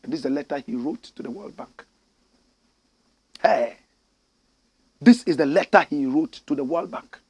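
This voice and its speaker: Male commentary host, higher voice, preachy and emphatic, talks straight to his audience. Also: expressive, normal speed, pauses often, breathy edge. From Ghana. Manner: preachy, emphatic, addresses listeners directly. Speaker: political commentary show host. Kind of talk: impassioned solo political commentary.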